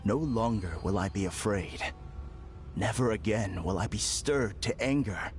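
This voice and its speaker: An actor playing an unsettlingly calm, formal villain in a game cutscene, speaking in a measured, courteous way. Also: male voice, on the low side, faint music behind. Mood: serenely polite. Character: eerily serene polite man, unsettling villain. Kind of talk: video game cutscene dub.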